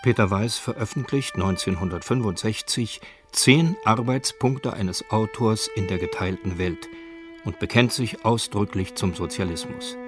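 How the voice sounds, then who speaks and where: A man with a standard German accent reading scripted narration for a radio documentary. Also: on the low side.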